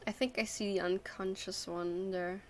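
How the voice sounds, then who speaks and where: A woman giving casual, somewhat halting commentary as she plays through a video game. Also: mid-range voice, fairly even intonation. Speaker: game streamer.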